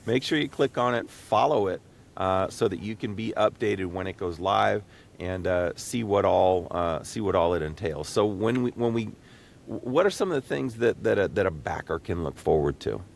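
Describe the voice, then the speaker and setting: A man hosting a casual podcast interview, speaking in a halting, folksy way with frequent restarts. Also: lively intonation, creaky. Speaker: podcast host. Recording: low rumbling background noise.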